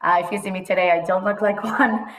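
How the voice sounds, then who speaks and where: A woman's voice: mid-range voice, steady tone, fast, a bit bright. Woman, 30s, from Israel; chatty interview guest, echoey room sound. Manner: chatty, mixes in Hebrew words, laughs often. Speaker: guest, HR consultant. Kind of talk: casual interview conversation.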